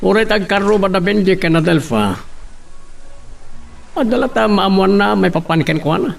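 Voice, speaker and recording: A man's voice: high voice, expressive, normal speed, creaky, faint music behind, full character voice. A man with a Filipino accent playing a father who runs a company, conversational and authoritative, in an audio drama. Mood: businesslike. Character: father and company boss, steady, paternal.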